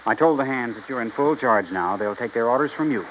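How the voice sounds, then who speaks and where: Actor as pushy young rancher, animated, muffled. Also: male voice, medium pitch, a little fast, close to an everyday voice. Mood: insistent, coaxing. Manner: insistent, persuasive. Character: pushy, smooth-talking young rancher fiancé. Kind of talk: 1950s radio drama acting. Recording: muffled, hissy old radio recording.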